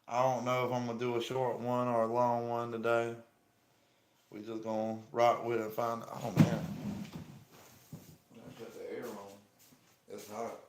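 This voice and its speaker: A man's voice: medium pitch, completely flat delivery, a little slow, long pauses. A man with a Southern US accent from South Carolina, hosting a live stream and chatting casually with viewers in a laid-back, friendly way, using an earpiece microphone indoors. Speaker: livestream host. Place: indoor room. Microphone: small earpiece microphone. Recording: echoey room with faint ambient noise.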